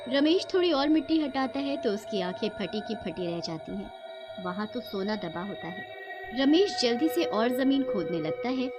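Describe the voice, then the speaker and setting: A woman narrating a scripted cartoon story, with music playing behind her. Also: on the high side.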